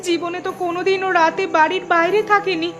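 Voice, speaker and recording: A woman's voice: very high-pitched, level tone, a little fast, music in the background. A woman playing an anxious, lamenting village mother in a melodramatic cartoon. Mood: worried. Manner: fretful, lamenting.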